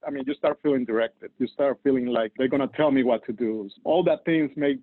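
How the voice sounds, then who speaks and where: A man with a Colombian Spanish accent speaks unhurriedly, pausing to find his words in English. His voice comes through a thin, phone-like line with a dry, close sound. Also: medium pitch, no echo. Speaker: church campus leader. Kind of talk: podcast guest interview.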